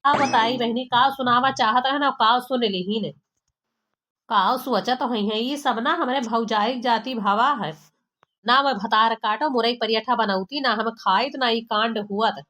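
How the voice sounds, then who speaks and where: A woman's voice: a little high, measured pace, pushed and bright, a little hiss or hum. A woman voicing a young village daughter-in-law with an Awadhi accent, complaining dramatically and colloquially in an amateur cartoon comedy. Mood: embarrassed, complaining. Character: exasperated, embarrassed young village daughter-in-law, gossipy and scolding.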